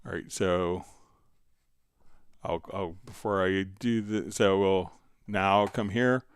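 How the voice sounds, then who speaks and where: A man hosting a live stream, casually explaining software step by step while answering viewer questions. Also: low-pitched, steady tone, slow, clean tone, dry recording, clean studio sound.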